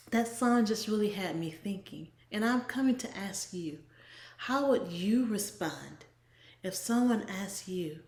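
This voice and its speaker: A woman giving an earnest, measured inspirational talk as a devotional blogger, often trailing off soft and breathy at the ends of phrases. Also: medium pitch. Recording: echoey room.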